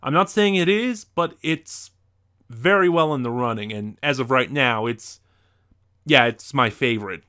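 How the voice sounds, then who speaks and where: Male video reviewer, mid-pitched, talking viewers through semi-scripted commentary. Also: measured pace, sharp tone.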